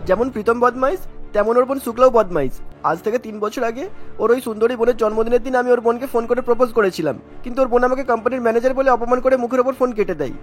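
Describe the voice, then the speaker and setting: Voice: mid-range voice, very fast, soft background music, natural voice. A performer voicing a spiteful, scheming male company manager in a cartoon, sneering and full of grudges. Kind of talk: Bengali cartoon dubbing.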